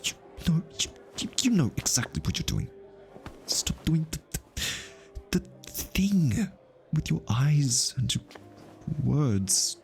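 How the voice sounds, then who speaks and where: A man playing an easily flustered, defensive, lovestruck hitman in an intimate ASMR roleplay, stammering, sighing and slipping into breathy whispers. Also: fairly high pitch, big pitch swings, slow pace, long pauses, soft background music, heavily acted.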